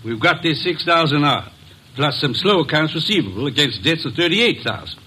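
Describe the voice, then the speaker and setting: An actor playing a cool, persuasive business partner, speaking calmly and matter-of-factly in a 1940s radio drama. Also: male voice, a little high, moderate pace, breathy edge, heavily acted, rough recording. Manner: calm, persuasive, matter-of-fact.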